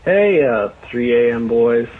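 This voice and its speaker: A man's voice: mid-range voice, animated, takes it slowly. A man leaving a casual, hesitant voicemail question, played back from a recording. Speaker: voicemail caller. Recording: dull voicemail played back, faint noise.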